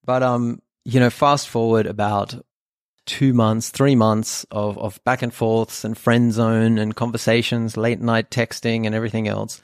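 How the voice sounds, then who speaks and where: A man's voice: on the low side, level tone, measured pace, smooth, dry recording, clean studio sound. A man in his thirties from Australia whose first language is German, telling his life story in an earnest, reflective way with many fillers. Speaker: podcast co-host. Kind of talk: personal storytelling.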